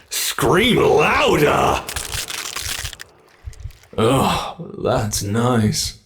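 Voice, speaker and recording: A man acts out a sinister monster for a horror ASMR roleplay. He takes his time over every word, in a mid-pitched voice full of gravelly creak. He gloats and muses theatrically, a sadistic predator toying with his prey. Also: heavily acted. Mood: sadistic relish. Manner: slow, menacing, gloating, theatrical musing with drawn-out words.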